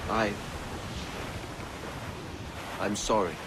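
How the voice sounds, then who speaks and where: An actor playing a gentle, rueful ship's captain and father in a video game cutscene, soft-hearted and a little hesitant. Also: fairly low pitch, fairly even intonation, very slow, heavy background noise. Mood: rueful, tender. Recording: loud music over the dialogue.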